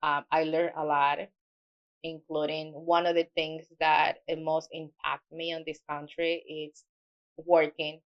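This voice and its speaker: A woman's voice: low voice, little pitch movement, unhurried, muffled recording. A middle-aged woman with a Spanish accent, a native Spanish speaker, telling her work story in a casual interview with small grammar slips. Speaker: guest, mortgage loan officer.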